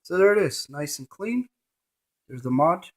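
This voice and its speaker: A man's voice: on the high side, lively intonation, unhurried. A man presenting a casual hands-on gadget demonstration, narrating each step in short fragments.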